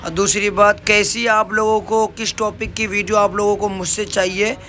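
A man's voice: high-pitched, flat delivery, normal speed, heavy background noise. A man vlogging an interview on a goat farm, eagerly affirming what he hears and addressing his viewers. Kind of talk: casual vlog interview.

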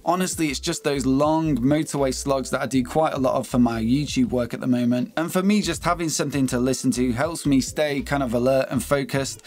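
Casting: British man, 30s, medium pitch, conversational and explanatory, motorcycle gear review. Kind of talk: product review to camera. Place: small home office, indoors.

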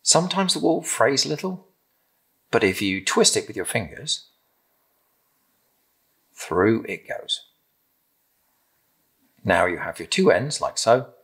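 British man, middle-aged, husky, animated intonation, craft presenter, gentle and encouraging, simple step-by-step instructions. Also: lowish voice. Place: indoor room with timber-framed walls, at a table.

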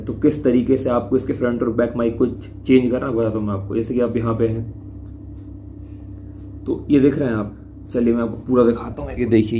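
A man's voice: mid-range voice, lo-fi sound, slight background noise. A young man casually explaining his camera setup step by step in a vlog, recorded on an action camera. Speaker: motovlogger. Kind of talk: casual gear-review vlog. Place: small home room indoors, later riding a motorbike on city streets. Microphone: action camera with attached mic.

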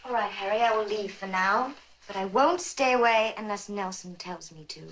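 An actress playing a young political secretary in a crime drama, cool, stubborn and defiant. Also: female voice, mid-range voice, normal speed, fairly bright tone, a little hiss or hum, natural voice, low-quality recording. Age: 20s.

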